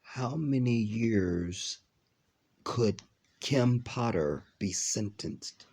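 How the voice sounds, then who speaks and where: Low husky congested man rambling. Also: darkish tone. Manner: rambling with many ums, drawn-out words. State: congested from a cold. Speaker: video reaction host. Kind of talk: casual live reaction vlog.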